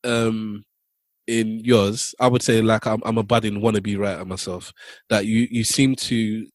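A man co-hosting a podcast, asking questions in a halting way full of fillers and restarts. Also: on the low side, flat delivery, dry recording. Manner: hesitant, many fillers and restarts. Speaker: podcast co-host. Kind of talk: casual podcast conversation.

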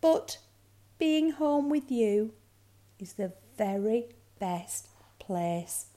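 A woman's voice: on the high side, expressive, very slow, mellow. A woman voicing an earnest, childlike young male polar bear cub in a storybook. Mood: apologetic, excited.

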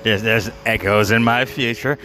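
A man's voice: lowish voice, fairly even intonation, normal speed, pushed and bright. A man telling a rambling personal story, full of fillers, restarts and bursts of laughter at himself. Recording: music playing behind.

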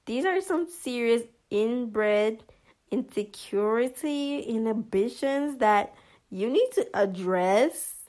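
A woman vlogger giving casual commentary in a monotonous voice, hesitant, with many fillers and restarts. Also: on the high side, takes it slowly.

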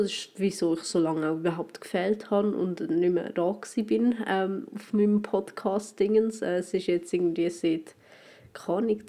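A young woman with a Swiss German accent hosting her podcast in casual solo chat, hoarse from a cold, rambling with many fillers and restarts, recorded at home. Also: lowish voice, dark tone. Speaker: podcast host. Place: at home in quarantine.

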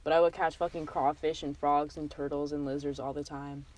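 A young adult from the US chatting casually during a drinking game, expressive and swearing freely. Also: mid-range voice, brisk, rough recording.

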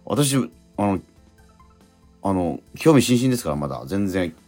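A man co-hosting a radio show, talking casually in halting, reflective phrases full of interjections. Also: deep voice, very animated, darkish tone. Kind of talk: casual conversational radio talk. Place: radio studio. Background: music playing behind the voice.

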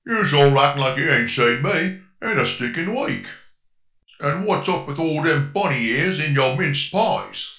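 A man voicing a cheerful male Cockney robot crewmate with a slangy, rough-edged manner in a comic audio drama. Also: on the high side, expressive, measured pace, pushed and bright, echoey room, faint music behind, exaggerated voice. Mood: gruff cheery. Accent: put-on Cockney.

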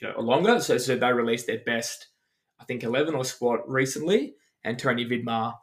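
A man's voice: medium pitch, fairly quick. A man co-hosting a casual podcast, explaining things at length and running through lists of names with frequent ums. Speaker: podcast co-host.

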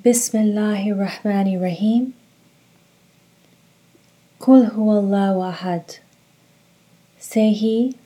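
Woman, meditation guide, unhurried pace, relaxed dark timbre, calm measured delivery. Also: mid-range voice, rough recording. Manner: slow, calm, measured instructions. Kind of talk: guided meditation narration with recitation.

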